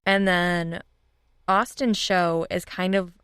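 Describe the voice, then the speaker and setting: A woman with a smooth, slightly low voice talks in an informal, enthusiastic way, as a guest in a casual interview. The sound is dry and close, with a clean, clear tone. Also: fairly even intonation, slow, a bit bright, no room sound. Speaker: guest, audio drama writers' room leader.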